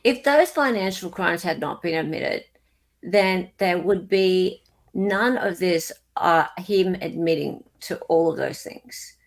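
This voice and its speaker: A woman's voice: on the low side, slow pace. A woman with an Australian accent hosting a casual panel discussion, agreeing and restating points to check them.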